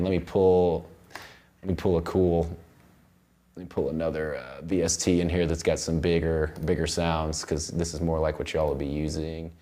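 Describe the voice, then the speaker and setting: Low-voiced man teaching audio production. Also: darkish tone. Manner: informal, loose explaining. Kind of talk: casual hands-on software tutorial. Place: recording studio control room. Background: music playback from the session.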